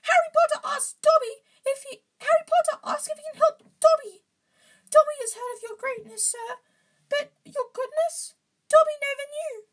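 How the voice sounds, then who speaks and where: A woman voicing a small, earnest, servile storybook house elf, very high-pitched, deferential and prone to wailing. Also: steady tone, moderate pace, a bit bright. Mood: adoring. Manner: deferential, wailing, speaks in third person. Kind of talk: storybook character voice.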